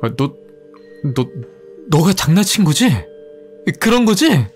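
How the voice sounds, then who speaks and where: Timid, easily spooked young schoolboy, high and lively, stammering, acted by a male narrator. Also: slow pace, soft background music, exaggerated voice. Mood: panicked. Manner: panicky, stammering.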